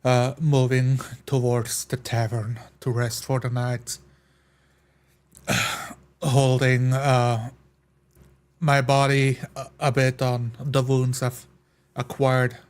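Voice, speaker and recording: A man playing a tabletop fantasy game, describing his character's actions in halting, deliberate phrases. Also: mid-range voice, flat delivery. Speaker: tabletop roleplay player.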